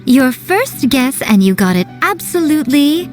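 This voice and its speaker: A sly teasing shrine priestess. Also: female voice, high-pitched, very animated, a little slow, music in the background. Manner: teasing, playful, superior. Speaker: head of a publishing house. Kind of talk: video game voice acting.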